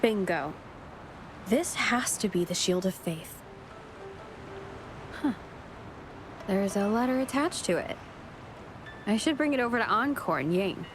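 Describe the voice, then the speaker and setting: A woman playing a composed, friendly young adventurer in an anime video game, calm and matter-of-fact. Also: medium pitch, measured pace, long pauses, noisy background, close to an everyday voice. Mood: pleased, focused.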